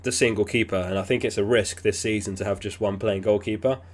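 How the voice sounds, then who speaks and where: A man hosting a casual livestream, reading viewer messages aloud in a relaxed, chatty way. He is sniffly and keeps clearing his throat. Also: fairly low pitch, quick pace. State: sniffly, getting over an illness. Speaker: fantasy football livestream host.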